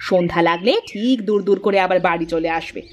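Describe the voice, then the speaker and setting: A woman's voice: lowish voice, fast, a little mellow, natural voice. A woman voicing a nagging, fed-up village wife in a cartoon fairy tale, mocking and complaining as she talks. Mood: mocking, dismissive. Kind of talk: animated fairy-tale voice acting. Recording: music behind the voice.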